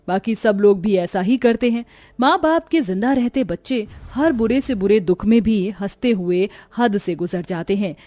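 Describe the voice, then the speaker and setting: A woman's voice: mid-range voice, dark tone, no room sound. A woman reading a scripted dramatic story as its narrator.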